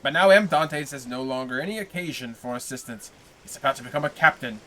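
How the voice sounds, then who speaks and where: A man's voice: medium pitch, animated, fast talker, sharp tone, a little hiss or hum. A man voicing an envious, gossipy male neighbor who blusters with indignation about a rival. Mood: indignant.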